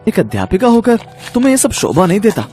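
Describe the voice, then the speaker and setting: A man playing an exasperated, scolding man in an animated moral story, reproachful and mocking in manner. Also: high-pitched, quick pace, faint music behind. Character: exasperated, scolding men around a greedy teacher.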